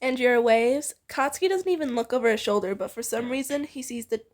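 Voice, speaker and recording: A woman's voice: on the high side, fairly quick. A young woman casually reading fan fiction aloud, with a giggly, teasing manner.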